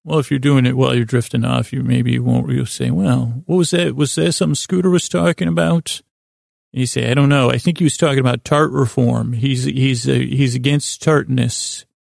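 Male podcast host, American, mid-pitched creaky voice, close dry sound; whimsical, halting bedtime rambling. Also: steady tone, darkish tone, dry recording. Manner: meandering, halting, frequent self-interruptions and tangents; self-deprecating, whimsical. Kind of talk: rambling sleep podcast monologue.

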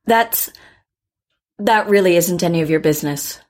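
A composed female therapist played by an actress in an audio drama, her voice a little low. She speaks with measured care and probes gently with questions, keeping a professional manner. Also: lightly acted. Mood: flustered. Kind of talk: naturalistic audio drama acting.

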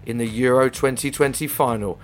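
An English man hosting a football podcast, his voice slightly croaky, with a dramatic, rhyming recap delivery. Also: medium pitch, steady tone, a little fast, soft background music. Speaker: podcast host. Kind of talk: scripted podcast presenting.

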